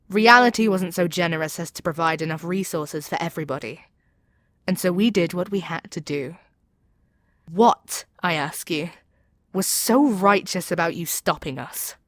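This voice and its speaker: A woman plays a demon queen with a somewhat low voice, very dry and free of room sound. She is proud and seductive, addressing her captive with theatrical command and persuasion. The delivery suits an intimate ASMR audio drama. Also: dry recording, lightly acted. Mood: lofty, defiant, then indignant, persuasive. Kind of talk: ASMR audio roleplay acting.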